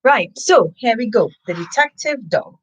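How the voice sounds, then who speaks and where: A woman's voice: fairly high pitch, unhurried, breathy edge, poor audio. A woman hosting a live story time for children, chatting to listeners and reading a picture book expressively. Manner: animated storybook delivery with sniffing and asides to listeners.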